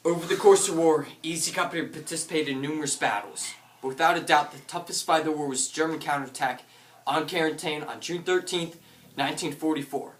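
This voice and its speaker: A man's voice: a little high, fast talker, a bit bright, lightly acted. A man playing a dutiful, battle-tested American paratrooper officer, declaiming his memories of combat in a theatrical war drama. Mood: solemn, proud. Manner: declamatory, bellows battle orders. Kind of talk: theatrical monologue and dialogue. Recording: echoey room from far off, noisy.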